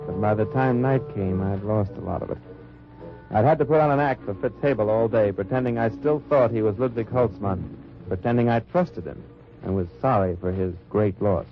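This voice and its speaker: A man's voice: lowish voice, moderate pace, natural voice. A man acting as an American wartime undercover agent, telling his mission in an understated hard-boiled way in a 1950s radio drama. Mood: tense, wry. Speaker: actor and first-person narrator. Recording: muffled old radio recording, music behind.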